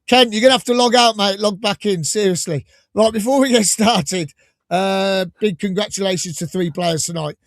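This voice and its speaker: A man hosting a live fan podcast, enthusiastic and rambling, a little croaky from a cold. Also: high-pitched, normal speed, dry recording, clean studio sound. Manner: enthusiastic, rambling, laughs often. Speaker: podcast host.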